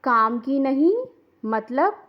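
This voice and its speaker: A woman voicing a boastful, scornful rich daughter-in-law in a cartoon moral story, quick to take offence. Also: high voice, animated, highs cut off. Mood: haughty, scornful.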